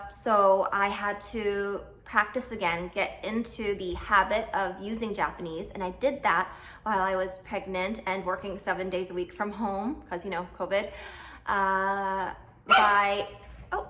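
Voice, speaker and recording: A woman in her thirties, from Canada, talks casually to her viewers at a mid pitch. She rambles a little, with plenty of ums and uhs, and pokes fun at herself. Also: unhurried, bright, forceful tone, a little hiss or hum. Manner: chatty, self-deprecating, many fillers. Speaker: vlogger. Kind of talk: casual chat to camera.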